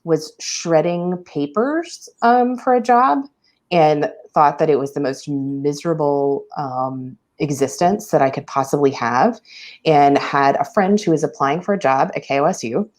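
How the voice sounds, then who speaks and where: A woman in her forties from Oklahoma with a strikingly deep voice, answering interview questions. She speaks plainly and steadily and often pauses on an um. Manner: plain-spoken, steady, frequent ums. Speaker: radio station executive director, guest.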